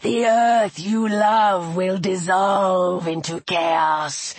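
An ancient male serpent of chaos from a storybook, acted by a woman. The voice drops low and husky and stretches each word very slowly. It is menacing and mocking, like a villain savouring a threat. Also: steady tone, no echo, heavily acted. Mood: taunting. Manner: slow, drawn-out, taunting menace. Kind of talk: audiobook character voice.

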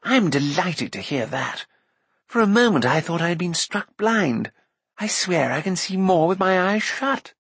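A man's voice: high voice, sing-song, unhurried, a bit bright, breathy edge, no room sound, voice pushed up, heavily acted. A man voicing a talkative, undaunted bard in an audiobook, with a wry, theatrical manner. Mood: wry relief. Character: jaunty, wordy, undaunted male bard.

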